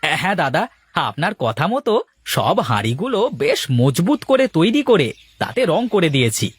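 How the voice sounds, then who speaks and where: A man acts out an obliging village potter in a cartoon. His voice is fairly high, husky and dry, and he talks in a mild, concerned, deferential way. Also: big pitch swings, normal speed, no echo. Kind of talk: cartoon voice acting.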